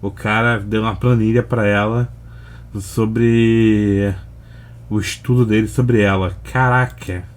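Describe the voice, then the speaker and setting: A Brazilian man giving casual reaction commentary in a halting, slangy way. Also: on the low side, steady tone. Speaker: reaction video host.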